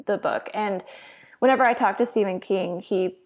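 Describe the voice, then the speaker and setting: A woman critic talking casually on a panel, pausing to find words and then stressing them. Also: medium pitch. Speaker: panel guest, entertainment critic. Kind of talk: casual review discussion.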